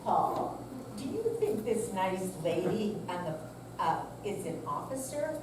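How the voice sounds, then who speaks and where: A woman's voice: mid-range voice, big pitch swings, takes it slowly. Woman reading as a fretful elderly wife, echoey. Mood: anxious. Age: older. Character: elderly wife, anxious, nagging, long-suffering. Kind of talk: staged reading of a play. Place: senior center hall, live performance. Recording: echoey room, distant mic, loud noise.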